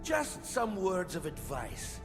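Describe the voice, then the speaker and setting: A man playing a solemn, aloof ruler in a video game, speaking in a measured, grave and formal manner. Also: high-pitched, very animated, music in the background, heavily acted. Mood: solemn, dismissive. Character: solemn, aloof male ruler of Atlantis giving advice. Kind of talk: video game cutscene acting.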